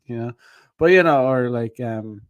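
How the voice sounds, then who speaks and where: A man's voice: medium pitch, moderate pace, no room sound, studio quality. A man giving dating advice on a casual stream, rambling with lots of fillers and frequent laughs. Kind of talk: casual rambling livestream talk.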